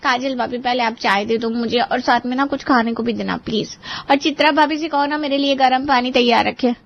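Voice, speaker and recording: A woman voicing a lazy, pampered young daughter in an animated family drama, whiny and demanding. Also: high voice, a little fast, creaky, highs cut off.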